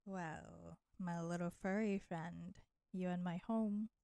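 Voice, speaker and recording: Casting: woman as flirty female vampire for intimate roleplay audio, teasing and nurturing, creaky vocal fry, very dry sound. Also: fairly low pitch, fairly even intonation, dark tone, no room sound. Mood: teasing menace. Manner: slow, teasing, coaxing, addressing listener with pet names.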